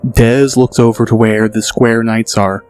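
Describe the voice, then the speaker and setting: A man reading scripted audiobook narration in a measured, descriptive way. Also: medium pitch, little pitch movement, a little mellow, clean tone, soft background music. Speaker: author and narrator.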